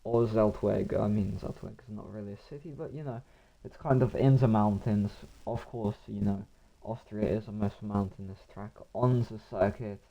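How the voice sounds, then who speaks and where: Hoarse male race commentator. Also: fairly low pitch, steady tone, moderate pace, warm tone, highs cut off. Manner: rambling, talks to himself between action. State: hoarse, voice nearly gone. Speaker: sim racing commentator.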